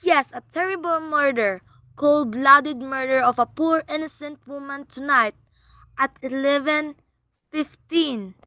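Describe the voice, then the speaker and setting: A woman's voice: high-pitched, unhurried, pushed and bright, no room sound. An actress playing a frantic, haughty wealthy married woman in a suspense radio play, demanding and indignant. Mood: urgent, alarmed. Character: frantic, imperious wealthy married woman reporting a murder plot.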